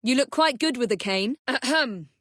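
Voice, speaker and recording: A young Victorian English assassin from a video game, confident and commanding. Her voice sits high and moves with lively, swooping intonation. The delivery is brisk, dryly witty and well-mannered, recorded close and dry. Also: no room sound. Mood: composed, wry. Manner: crisp, commanding, wry, polite.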